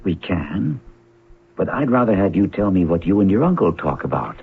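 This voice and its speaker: An actor playing an earnest, probing, worried family friend in a 1970s radio drama. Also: male voice, low-pitched, very animated, normal speed, vocal fry, exaggerated voice. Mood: gently probing. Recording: tinny, noisy old radio recording with hum.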